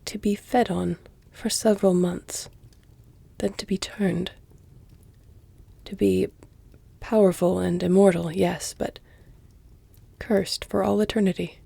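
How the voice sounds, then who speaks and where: A woman voicing an elegant, flirtatious vampire in an intimate audio roleplay, with a hushed, breathy, deliberate and formal delivery. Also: medium pitch, steady tone, no echo, heavily acted. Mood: seductive, hesitant. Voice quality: breathy, hushed, often near whisper. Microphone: close, dry microphone.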